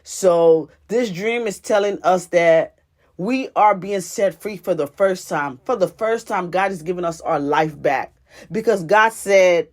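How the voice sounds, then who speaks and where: A woman's voice: lowish voice, measured pace. Haitian woman preaching with earnest repetition. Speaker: spiritual teacher and life coach. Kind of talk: prophetic teaching monologue.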